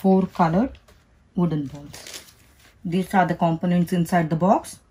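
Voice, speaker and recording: Woman calmly narrating a toy unboxing. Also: on the low side, a little hiss or hum. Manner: slow, careful, instructional. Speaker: toy reviewer. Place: indoors, at a table. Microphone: off-camera voice, hands only in frame.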